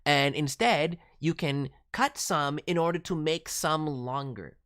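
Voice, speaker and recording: A woman with a low voice hosts a casual review stream. Her pitch moves around a great deal as she coaches in an animated, encouraging way. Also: unhurried. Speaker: streaming host and voice director reviewing demos. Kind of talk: casual livestream critique.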